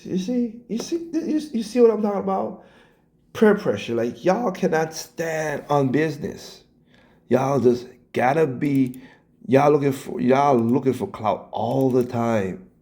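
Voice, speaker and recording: A man with a scratchy, hoarse voice, ranting casually in reaction to clips, blunt and swearing, stumbling and repeating his words. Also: mid-range voice, lively intonation, a little slow, mellow. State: hoarse, coughing. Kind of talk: casual rant.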